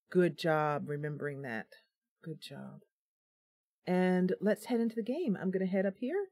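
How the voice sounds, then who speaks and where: A woman's voice: mid-range voice, no room sound, studio quality. A tired woman streaming live from her home computer, chatting casually, laughing at her own slip-ups. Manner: chatty, giggly, self-deprecating.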